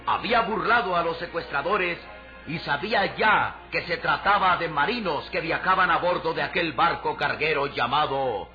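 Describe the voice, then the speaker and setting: Male narrator, raised pitch, declamatory radio serial style, muffled sound, music underneath. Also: moderate pace, sharp tone. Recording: muffled old radio drama recording. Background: prominent music.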